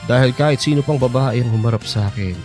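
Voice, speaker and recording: A man's voice: mid-range voice, fast, darkish tone, faint music behind, lightly acted. An actor playing a flirtatious, teasing man of sixty-five with a Filipino accent in a radio drama. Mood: teasing, boastful. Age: 60s. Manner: teasing, cajoling, exaggerated swooping delivery.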